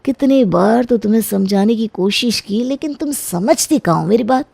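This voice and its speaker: A woman's voice: on the high side, animated, moderate pace, gravelly, a little mellow, breathy edge, dry recording, full character voice. A woman playing a sly, lecherous young man, the brother-in-law in a story, with an insinuating, pushy manner. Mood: irritated, insistent.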